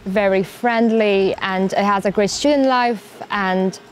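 A young woman with an Irish accent, an exchange student calmly answering interview questions outdoors on a lapel mic, often pausing with um. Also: mid-range voice, a little hiss or hum. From Ireland. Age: young adult. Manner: calm, with many ums. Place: university courtyard outdoors.

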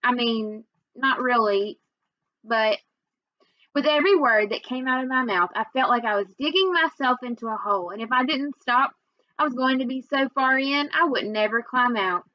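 Young woman reading aloud, highish voice. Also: a little fast, no echo, dull audio. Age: young adult. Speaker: reader. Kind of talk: children's book read-aloud narration. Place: small room at home, indoors.

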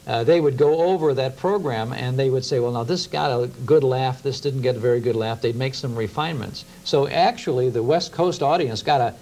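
A man's voice: medium pitch, fairly quick, hardly any pauses, darkish tone. A man in his fifties with an American accent, explaining as an interview guest. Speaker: guest radio historian. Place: TV studio. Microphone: lapel mic. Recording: faintly noisy old TV tape.

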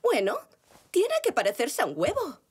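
A woman's voice: high voice, huge pitch swings, normal speed. A woman voicing a snooty, exasperated zebra mother in a children's cartoon, very dramatic in manner. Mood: dismissive. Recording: music behind.

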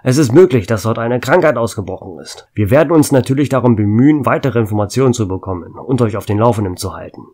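An actor playing a chatty, joking small-town radio DJ in a radio drama. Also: male voice, medium pitch, animated, fast, few pauses, darkish tone. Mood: urgent. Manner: chatty, jokey. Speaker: radio host.

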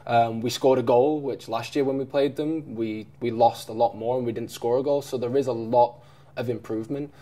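A man's voice: mid-range voice, fairly even intonation, moderate pace, mellow. A man in his thirties, a head coach reflecting on a match at a press conference, with many fillers and restarts. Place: press room in front of a sponsor backdrop.

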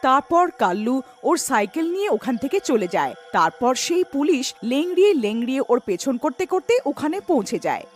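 A woman's voice: sits high, sing-song, fairly quick, few pauses, soft background music. A woman narrating a scripted cartoon story.

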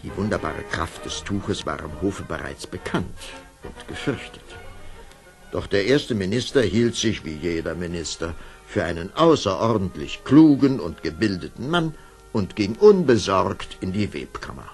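A man narrating a children's fairy-tale radio play in an expressive storytelling manner. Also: low-pitched, moderate pace, faint music behind.

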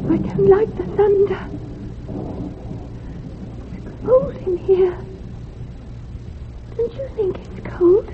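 A tiny, very high-pitched ghost girl speaks very slowly and plaintively in a radio horror play. She sounds lonely and eerie, repeating herself like a small child, through a tinny recording with music behind. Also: noisy background. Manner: slow, halting, repetitive childlike speech. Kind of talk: old-time radio horror drama.

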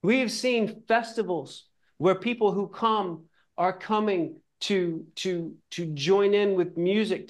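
A middle-aged man making an impassioned, preacher-like appeal for a charity project, repeating phrases for emphasis, recorded indoors on a lapel mic. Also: high-pitched. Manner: fervent, emphatic, preacher-like repetition. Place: indoor room with paintings and a plant.

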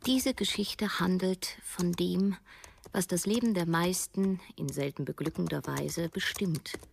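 An actress playing a woman writer in her thirties who narrates a radio drama in a measured, literary way. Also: lowish voice, creaky, a little hiss or hum. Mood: reflective. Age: 30s. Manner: measured, storytelling.

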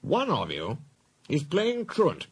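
A man playing a stern, pompous railway controller, brisk and commanding, in a children's story. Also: on the high side, huge pitch swings, vocal fry, slightly rough, voice pushed up, exaggerated voice. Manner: brisk, authoritative.

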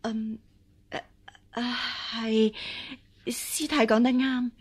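A scheming empress dowager in a radio play. Her voice is high and husky, and she speaks slowly. She carries imperial haughtiness yet turns hesitant and deferential under pressure. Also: little pitch movement. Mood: meek, evasive. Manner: hesitant, deferential when cornered. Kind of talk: Cantonese radio drama acting.

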